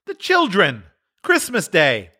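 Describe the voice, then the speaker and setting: A mild, gentle father speaks softly in a high, lifted voice, as a man reads him aloud. He talks slowly and calmly, like a figure in a read-aloud storybook. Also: full character voice. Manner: mild, warm toasting.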